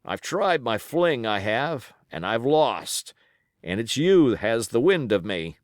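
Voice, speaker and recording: A man voicing a rough, wheedling wounded pirate sailor from an adventure storybook, with drawled, folksy speech and rustic grammar. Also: mid-range voice, very animated, takes it slowly, no echo, clean studio sound. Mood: eager, conceding.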